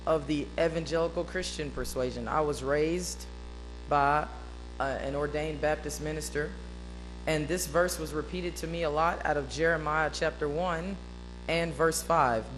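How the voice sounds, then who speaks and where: A woman delivers an emphatic prepared speech into a podium microphone. Her voice is big and loud, like a radio talker's, and each point lands with earnest force. A faint hum and soft music sit underneath. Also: measured pace, low-quality recording. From East Arkansas, USA. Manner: loud, booming voice. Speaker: speaker at an interfaith devotional. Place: meeting hall.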